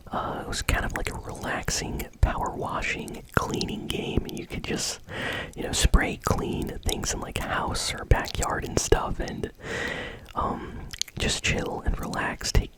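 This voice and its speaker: Breathy whisper, unvoiced, ASMR host, casual gaming news commentary, conversational and chatty. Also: rough recording.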